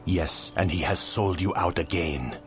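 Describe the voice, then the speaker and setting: An actor playing a grave, courtly vizier mage in a video game, with formal, ominous, theatrical delivery. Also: male voice, low voice, measured pace, a little hiss or hum. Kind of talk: video game cutscene acting.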